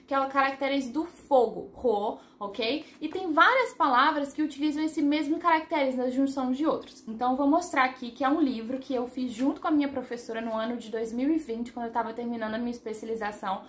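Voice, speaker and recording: A high-voiced woman talks through a language lesson in a loose, rambling way. She often stops to correct herself and laughs at her own slips. Her voice rings around a roomy, echoey space. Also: fairly quick, few pauses, fairly bright tone, a little hiss or hum. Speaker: language teacher on her channel. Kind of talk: casual explanatory lesson to camera. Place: living in Taiwan.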